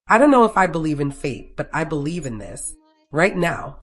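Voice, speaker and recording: A narrator voicing a quietly confident, career-driven man in love in a romance story. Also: mid-range voice, animated, moderate pace, soft background music. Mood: earnest.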